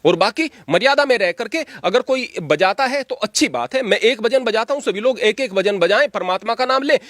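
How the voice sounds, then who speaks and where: A man's voice: extremely high pitch, animated, fairly quick, a bit bright, dry recording. A man giving his opinions in an interview like a preacher, emphatic and exhorting, repeating phrases for stress.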